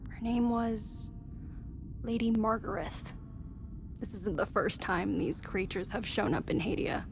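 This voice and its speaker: An actress playing a chatty, wry military research scientist in a video game, explaining things in a measured, conversational way. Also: female voice, mid-range voice, creaky, background noise. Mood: calm, confiding. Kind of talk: scripted game dialogue, conversational exposition.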